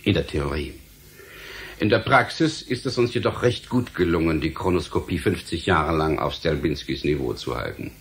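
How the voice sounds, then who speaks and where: An actor playing a stern, menacing government official in a German radio play, speaking in a formal, measured, authoritative way. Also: male voice, a bass voice, expressive. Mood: formal, self-assured. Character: stern, menacing male government official guarding forbidden science. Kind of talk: German radio drama acting.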